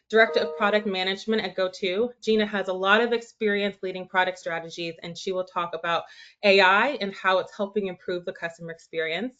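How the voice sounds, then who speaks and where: A woman hosts a webinar and introduces the speakers with upbeat enthusiasm. Her voice sits in a middle pitch. The audio is muffled and lo-fi, like a video call. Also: a bit bright. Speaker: webinar host. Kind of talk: scripted introductions.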